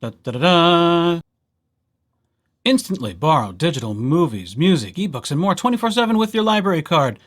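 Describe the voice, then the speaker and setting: A man's voice: on the high side, very animated, normal speed. A man presenting a casual, jokey library tutorial, speaking straight to viewers and playing out little imagined exchanges. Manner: jokey, playful, addressing viewers directly. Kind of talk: casual scripted tutorial narration.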